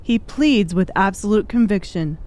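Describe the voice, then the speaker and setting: A woman's voice: medium pitch, lively intonation, normal speed, darkish tone. A woman reading scripted, dramatic audiobook narration.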